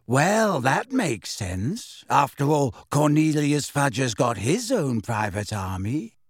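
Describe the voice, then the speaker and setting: A man voicing a solemn, dreamy, stubbornly credulous teenage girl with a drawn-out delivery in a storybook. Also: mid-range voice, sing-song, breathy edge, no room sound, studio quality, exaggerated voice. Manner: solemn, drawn-out, wide-eyed conviction.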